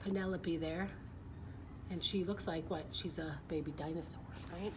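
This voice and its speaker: A woman's voice: lowish voice, steady tone, moderate pace. A middle-aged woman chatting informally with young listeners and reading aloud on a casual children's story-time livestream. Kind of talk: casual livestream chat and picture-book read-aloud. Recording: clear background noise, live stream.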